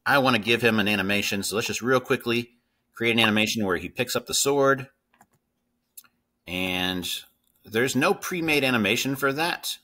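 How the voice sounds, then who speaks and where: A man's voice: medium pitch, normal speed, pushed and bright. A middle-aged man hosting a casual screen-share coding tutorial. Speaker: tutorial host.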